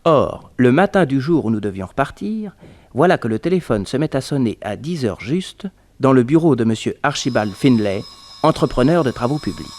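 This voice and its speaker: An actor playing a detective's companion who narrates a past case conversationally, in 1960s French radio storytelling style. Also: male voice, medium pitch, very animated, moderate pace, darkish tone, close to an everyday voice.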